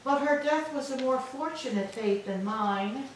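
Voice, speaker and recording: A woman performs a young captive widow in a Greek tragedy, noble and full of despair. The voice is fairly high and slow, with formal, measured phrasing. It rings in a very echoey hall, heard from a distance. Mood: bitter sorrow. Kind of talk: staged reading of Greek tragedy. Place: church, staged reading. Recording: echoey hall, distant mic, faint noise.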